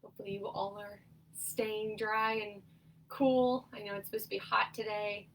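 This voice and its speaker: A young woman occupational therapist leading a seated exercise class, her voice nasal and stuffed up, giving upbeat cues and steady countdowns. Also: medium pitch, normal speed, distant mic, slight background noise, poor audio. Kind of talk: instructional exercise cueing with countdowns.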